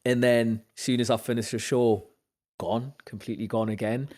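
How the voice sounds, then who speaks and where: A young man from Zambia with a Newcastle accent, a podcast guest telling lively stories about his work and acting out what people said. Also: normal speed. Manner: animated storyteller acting out quoted dialogue, lifts in pitch when excited. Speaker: podcast guest, actor and musician. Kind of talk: casual storytelling chat.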